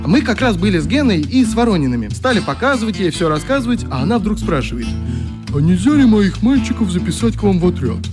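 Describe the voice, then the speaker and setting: An easygoing leader of a youth club in a radio drama. His voice is high for a man and very lively, swinging through teasing, fond storytelling. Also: fast talker, over music. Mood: amused, teasing. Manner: animated, teasing, mimics people he quotes. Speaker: actor in a radio play.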